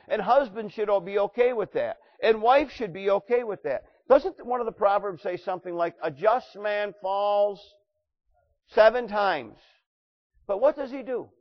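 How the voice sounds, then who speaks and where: Loud, high-voiced male pastor preaching. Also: highs cut off. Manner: loud preaching voice with wide swings in volume. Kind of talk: sermon. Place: church sanctuary.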